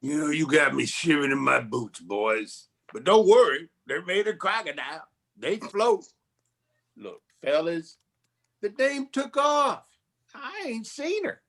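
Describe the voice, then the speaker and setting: An actor playing a glib, wisecracking 1940s private detective in a campy noir radio play. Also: male voice, on the high side, sing-song, a little slow, a bit bright, full character voice. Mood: cocky. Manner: glib, slangy.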